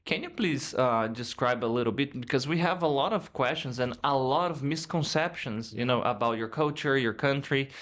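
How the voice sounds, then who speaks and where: A man's voice: medium pitch, fairly bright tone. A Brazilian podcast host speaks English with a Brazilian Portuguese accent. He keeps the interview casual and friendly, teasing and joking with his guest in a mid-range voice. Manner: friendly, joking, quick to laugh along.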